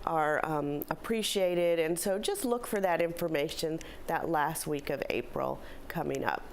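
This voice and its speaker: A middle-aged woman, a philanthropy director, slightly hoarse, giving upbeat, chatty announcements in a studio interview. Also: low voice, unhurried. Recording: faint background noise.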